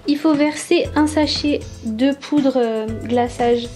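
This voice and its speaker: A young woman in a casual voice-over, explaining each step of a craft toy in a relaxed way with small fillers. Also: high-pitched, takes it slowly, music in the background. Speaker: toy review YouTuber.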